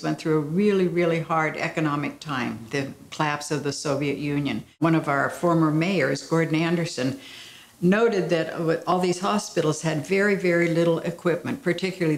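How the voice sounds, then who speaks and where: Older woman, deep-voiced, reflective interviewee; roomy sound, light hiss. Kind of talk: documentary interview.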